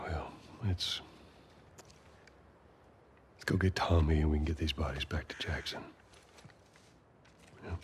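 A man playing a guarded, weary middle-aged survivor in a video game, with a terse, measured delivery. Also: rumbling low, steady tone, a little hiss or hum. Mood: evasive. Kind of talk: naturalistic cinematic game acting.

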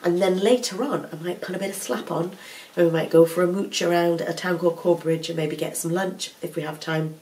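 A woman's voice: on the low side, fairly even intonation, fairly quick, darkish tone. A woman, stuffed up with a cold, chatting rambly to the camera at home. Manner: rambling, self-correcting, chatty. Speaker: vlogger, full-time mum. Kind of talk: casual chatty vlog to camera. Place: living room at home. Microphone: camera on a tripod at a distance. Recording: mic somewhat far off.

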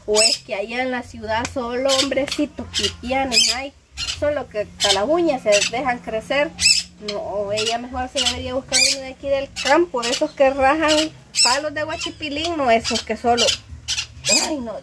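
A woman's voice: high-pitched, normal speed, close to an everyday voice. An actress playing a dramatic, disapproving rural mother, who laments and complains. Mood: grumbling. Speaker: mother-in-law character. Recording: loud background noise, birds outdoors.

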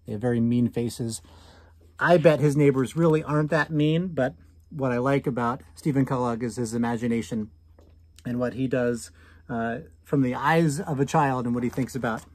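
An American man in his thirties reading a picture book aloud to children, commenting and asking questions with drawn-out emphasis on key words. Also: medium pitch, darkish tone, no echo. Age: 30s. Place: small indoor room at home.

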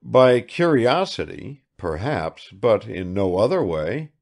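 Man playing young male intellectual, blunt, harsh, brooding, slow delivery, creaky edge, storybook dialogue. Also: fairly low pitch, big pitch swings, a little mellow, voice pushed up, heavily acted. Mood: probing, blunt. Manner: harsh, muttering, argumentative. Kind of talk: dramatised literary audiobook dialogue.

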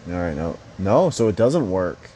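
A man's voice: sits low, very animated, normal speed, warm tone, a little hiss or hum. A young man casually commenting on a mobile fighting game, rambling and swearing with joking asides.

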